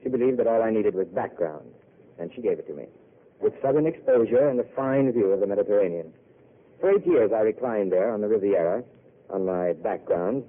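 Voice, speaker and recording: An actor playing a weary, self-mocking intellectual who speaks in a wry, eloquent way, heard on a tinny old radio broadcast. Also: male voice, lowish voice. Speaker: wandering failed writer. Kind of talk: 1930s radio drama acting.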